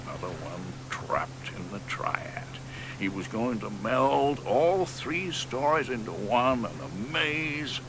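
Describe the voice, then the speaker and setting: Brief: an old poet, gravelly, slow, sardonic, radio play with background noise. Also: male voice, mid-range voice. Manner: slow, gravelly, dry muttered asides. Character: aging poet Ezra Pound, bitter and sardonic. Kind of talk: radio drama acting.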